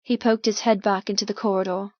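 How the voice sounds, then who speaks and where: A woman's voice: medium pitch, level tone, fast talker, no room sound. Woman narrating fiction, dry muffled sound. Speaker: audiobook narrator. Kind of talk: scripted first-person fiction narration.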